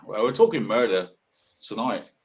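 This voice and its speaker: A man in his sixties giving a casual, rambling monologue, musing haltingly and asking rhetorical questions. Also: fairly low pitch, animated. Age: 60s. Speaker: video monologue host.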